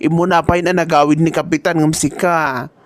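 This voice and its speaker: A woman acting a hospitable but sharp-tongued neighbor in a comedy radio drama. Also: deep voice, flat delivery, moderate pace, no echo, close to an everyday voice. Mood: scolding.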